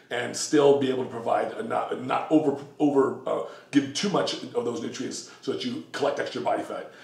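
A middle-aged man with a gravelly, mid-pitched voice talks casually and at length, stumbling over fillers and correcting himself as he goes. He is recorded from a few feet away in a home kitchen, and the room echoes around his voice. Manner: rambling, many fillers and self-corrections. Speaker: diet coach guest. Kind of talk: casual explanatory talk. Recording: echoey kitchen, mic at a distance.

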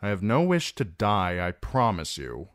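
A man's voice: fairly low pitch, big pitch swings, a little slow, no room sound. A male narrator voicing a bitter, sardonic dwarf lord who speaks in a dry, wry, curt way. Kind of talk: audiobook character reading.

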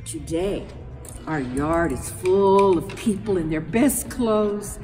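A middle-aged woman reading a children's picture book aloud theatrically, with dramatic pauses and drawn-out words. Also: fairly low pitch, animated, in a large hall, over music. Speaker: storyteller.

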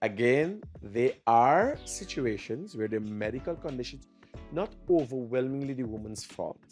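A man's voice: mid-range voice, expressive, normal speed, darkish tone, soft background music. A middle-aged man with a Guyanese accent in an uncensored podcast debate, emphatic, profane and repetitive, often cutting in. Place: small indoor lounge studio. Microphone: podcast microphone on boom arm.

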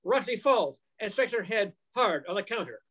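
An older man narrates a radio mystery from a script. His voice is high for a man. The delivery follows old-time radio storytelling, captured on a video call. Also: lively intonation, normal speed, fairly bright tone. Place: home room, video call. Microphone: computer mic on a video call.

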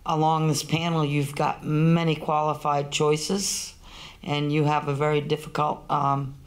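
Slow, halting woman candidate. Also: low-pitched. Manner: halting, slow, with many fillers. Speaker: political candidate. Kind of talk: forum closing statement. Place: small meeting room, seated at a table.

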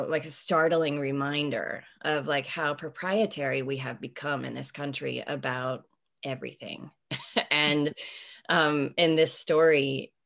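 A woman's voice: a bass voice, vocal fry, a bit bright. A woman in her forties, an author answering interview questions over a video call from home, thoughtful and searching, with many fillers and restarts. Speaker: author guest. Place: home room with bookshelves. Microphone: video call webcam.

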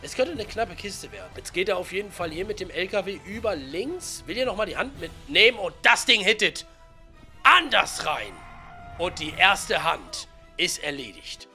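A man in his twenties with a cold, giving chatty, slangy, excited commentary on a video game over faint music. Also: high voice, normal speed. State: has a cold. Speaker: gaming YouTuber.

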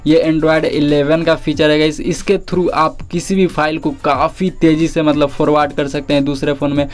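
A man's voice: on the high side, little pitch movement, moderate pace. A young man giving a casual tech tutorial voice-over, addressing viewers familiarly and mixing in English words. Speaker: tech tips video presenter. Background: background music.

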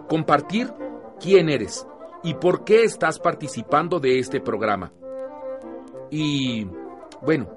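An actor playing an earnest, encouraging youth workshop leader in a radio drama, speaking in a measured, didactic way. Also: male voice, on the high side. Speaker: group facilitator and narrator. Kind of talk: radio drama acting, scripted narration.

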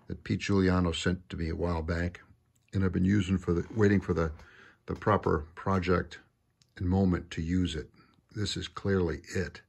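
A man speaks in a very low voice with plenty of gravel and a husky grain. He presents his own hobby project off the cuff, casual and full of admiration, as if walking viewers around his workbench. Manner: enthusiastic, admiring. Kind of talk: casual unscripted show-and-tell narration. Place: indoor home workshop at a workbench.

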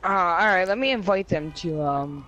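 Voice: medium pitch, lively intonation, normal speed, sharp tone. A player casually roleplaying a bossy crew leader in an online crime game. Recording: faintly noisy game audio with vehicles. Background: faint game vehicle noise.

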